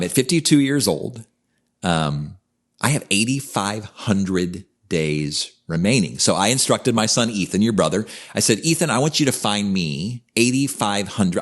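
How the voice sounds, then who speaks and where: A man's voice: lowish voice, sing-song, normal speed. A man in his fifties co-hosting a podcast, talking in an earnest, reflective way in casual conversation. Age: 50s. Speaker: podcast co-host, coach.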